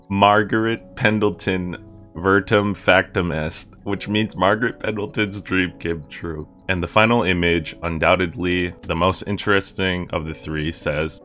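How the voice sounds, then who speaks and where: A man's voice: low voice, a bit bright. A young man narrating a casual scripted video essay in an irreverent, joking manner. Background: music with guitar under the voice.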